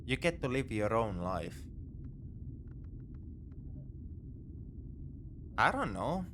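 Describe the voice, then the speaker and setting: A man's voice: mid-range voice, very animated, moderate pace, fairly bright tone, a little hiss or hum. A man playing a morally grey space pirate captor in a sci-fi ASMR roleplay, talking in a casual, wry, coaxing way. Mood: encouraging, playful. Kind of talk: second-person sci-fi ASMR roleplay acting.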